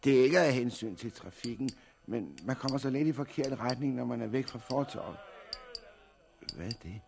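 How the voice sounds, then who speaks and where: A proud, crusty blind veteran, played by a man in an old radio play. He speaks at a middling pitch with gruff, dry sarcasm. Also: close to an everyday voice. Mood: dry, self-assured. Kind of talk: 1960s radio drama acting.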